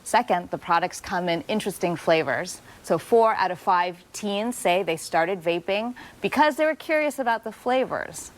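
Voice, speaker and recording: A woman doctor, a guest expert on a TV segment, explaining things in a calm, clear, explanatory manner. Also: mid-range voice, normal speed, hardly any pauses. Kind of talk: expert interview.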